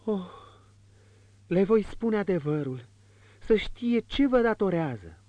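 A man's voice: high voice, big pitch swings, no room sound, lo-fi sound, voice pushed up. Man voicing a young, eager noblewoman. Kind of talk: audiobook character reading.

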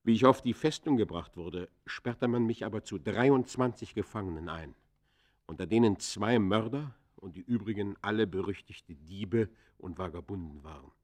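An actor playing an embittered ex-convict in a radio play, confessing in theatrical, literary that swings from hushed to fierce. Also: male voice, lowish voice, moderate pace, lightly acted. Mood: bitter, wounded pride. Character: embittered male ex-convict confessing his descent into crime. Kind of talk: German radio play acting, literary monologue.

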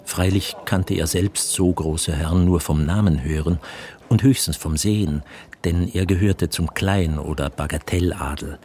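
Very deep-voiced male literary narrator. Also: a little slow, a little mellow, slight background noise. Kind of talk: scripted literary narration for radio drama. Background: music.

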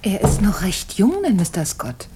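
An actress in a German radio drama playing an elegant, self-assured American widow with a flirtatious, teasing manner. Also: female voice, mid-range voice, huge pitch swings, normal speed, background noise. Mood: teasing, intimate. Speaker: actor.

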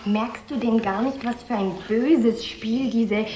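Earnest princess, highish echoing voice. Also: female voice, slow, warm tone, a little hiss or hum. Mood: urgent pleading. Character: tsar's earnest, determined daughter pleading with her father. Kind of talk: children's radio play acting.

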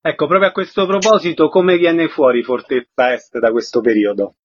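Higher-pitched husky male radio host. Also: fairly even intonation, moderate pace, no echo. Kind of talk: radio interview hosting. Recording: slightly dull radio sound.

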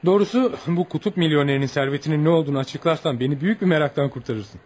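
An actor playing a polite, curious American traveller in a radio play, theatrical and musing, with amused asides to himself. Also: male voice, a little high, racing, darkish tone, close to an everyday voice. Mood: curious, feigning amazement.

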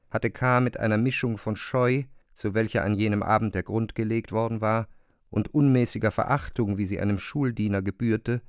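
A man's voice: on the low side, clean tone, no room sound, studio quality. A man reading literary narration for a radio play in pronunciation.

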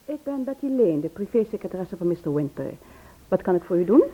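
An actress playing a curt, dismissive private secretary in an old Dutch radio play. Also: female voice, mid-range voice, big pitch swings, quick pace, husky. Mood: brisk, formal. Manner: curt, officious. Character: brisk, dismissive female private secretary guarding her boss. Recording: tinny phone-like old radio recording.

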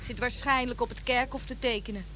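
An inquisitive village woman in a radio play, chatty and matter-of-fact. Her voice is high and sharply bright, with faint ambient noise behind. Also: steady tone, a little fast. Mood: curious, helpful. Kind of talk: radio drama acting.

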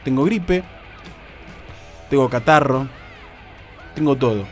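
A young man with a Rioplatense accent hosting a casual radio show, hoarse from a cold and joking around. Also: mid-range voice, takes it slowly, over loud music. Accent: Rioplatense Spanish. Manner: joking, teasing.

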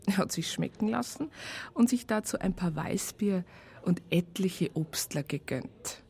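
Wry, lowish-voiced Bavarian female narrator. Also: expressive, creaky, darkish tone. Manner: wry, colloquial, unhurried. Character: wry female storyteller recounting police case files. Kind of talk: radio drama narration.

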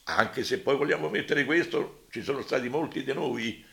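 An elderly man giving spontaneous testimony as a survivor, halting and repetitive but emphatic. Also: medium pitch, gravelly, bright, forceful tone, echoey room. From Rome, Italy. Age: elderly, over 80.

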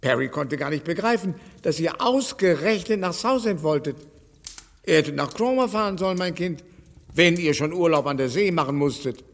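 An actor playing a fussy, anxious elderly gentleman who frets over health. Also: on the high side, animated, normal speed, creaky, big-room sound. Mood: fretful. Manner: fretful, worrying over health. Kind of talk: radio play acting.

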